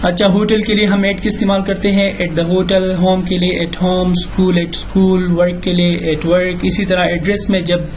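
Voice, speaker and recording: High-pitched Pakistani man teaching English. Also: flat delivery, slight background noise, low-quality recording. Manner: instructional, reads examples slowly and deliberately. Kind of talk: bilingual lesson explaining grammar and reading out example sentences.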